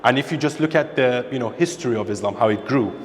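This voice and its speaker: A man's voice: mid-range voice, quick pace, slight background noise. Man, from Iran, podcast guest, emphatic, repeats points, reverberant room. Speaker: interviewee, social media creator. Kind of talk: opinionated conversation.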